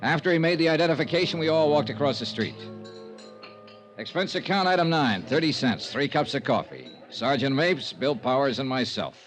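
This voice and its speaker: A man's voice: medium pitch, lively intonation, moderate pace, faint music behind, close to an everyday voice. A man playing a tough, wry insurance investigator in 1950s radio style, clipped, dry and sardonic. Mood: dry, businesslike. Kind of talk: 1950s radio detective acting. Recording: noisy vintage radio recording.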